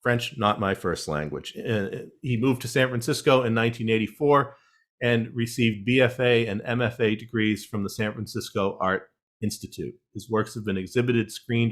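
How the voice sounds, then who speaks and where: A man moderating an online panel, reading guest introductions in a measured way with wry asides over a video call. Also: medium pitch, fairly bright tone.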